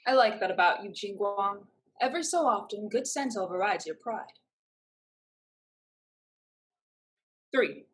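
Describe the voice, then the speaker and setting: A woman's voice: mid-range voice, lively intonation, moderate pace, natural voice. A woman acting in a stage play reading as a proud, streetwise young woman who is protective of her sister. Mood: wry, teasing.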